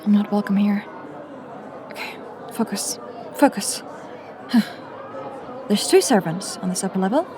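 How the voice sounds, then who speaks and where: An actress playing an anxious young woman infiltrator who mutters to herself in a hushed, self-questioning way in an audio drama. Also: medium pitch, very animated, measured pace, long pauses, crowd noise, noisy background. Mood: focused, self-coaxing.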